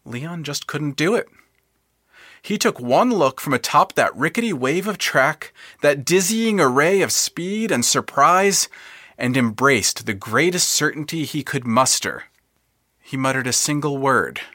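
A man giving scripted, literary narration for an audio drama in a dramatic, expressive manner. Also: on the high side, unhurried, no echo, studio quality.

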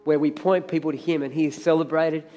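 A middle-aged man preaches a sermon, his voice worn hoarse and crackly. He speaks in a fairly high register, and he drives his points home with energetic, emphatic repetition. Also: fast, soft background music. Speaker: pastor.

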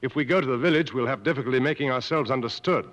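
An actor playing a seasoned, take-charge safari guide, matter-of-fact, in 1950s radio drama style. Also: male voice, mid-range voice, very fast, fairly bright tone, natural voice. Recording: muffled old 1950s radio broadcast.

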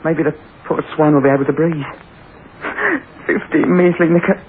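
An actor playing a desperate, guilt-ridden young thief in an anguished, brooding old-time radio style. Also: male voice, fairly high pitch, steady tone, normal speed, background noise, lightly acted. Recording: narrow-band old radio recording, loud music.

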